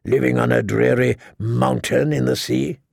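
Man playing a sardonic gravelly highborn knight. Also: steady tone, moderate pace, darkish tone, no room sound, studio quality. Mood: mocking. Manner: mocking, drawling, sneering. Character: sardonic, taunting highborn male knight, cocky and cruel.

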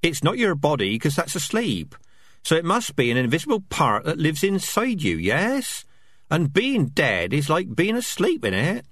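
A man's voice: huge pitch swings, normal speed, fairly bright tone, breathy edge, no room sound, exaggerated voice. A man voicing a squad of small, nervous, bickering male rats in an audiobook reading. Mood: uneasy. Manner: bickering, nervous, high-pitched chatter. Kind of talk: audiobook character voices.

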